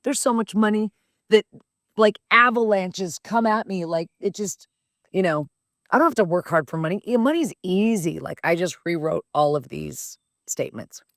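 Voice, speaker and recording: A woman's voice: lowish voice, normal speed, no room sound, clean studio sound. Blunt, profane woman in her fifties. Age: 50s. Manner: blunt, profane, animated and emphatic. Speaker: podcast host. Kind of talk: casual personal storytelling.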